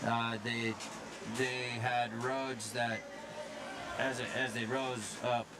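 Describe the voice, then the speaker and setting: A man's voice: medium pitch, little pitch movement, takes it slowly, loud music behind the voice. A man giving casual color commentary at an ice hockey game, telling rambling stories full of fillers and restarts. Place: ice rink. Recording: loud rink ambience behind.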